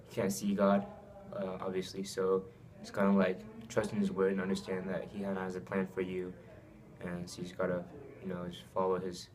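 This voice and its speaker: A man's voice: sits low, a little mellow. A young man, a student athlete from Saipan raised in Japan, answering interview questions casually with many ums and likes. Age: young adult.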